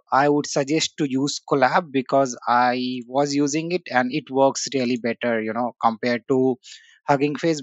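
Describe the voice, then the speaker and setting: Filler-heavy man walking through software. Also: mid-range voice, no room sound, lo-fi sound. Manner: casual, frequent "uh" and "you know" fillers. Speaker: tech tutorial host. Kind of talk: screen-share software walkthrough.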